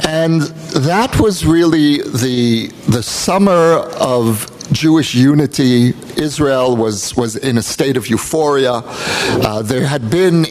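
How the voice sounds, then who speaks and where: An American man, a guest speaker, giving a public talk in a measured, personal storytelling manner. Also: mid-range voice, a little mellow, a little hiss or hum. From United States. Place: event hall.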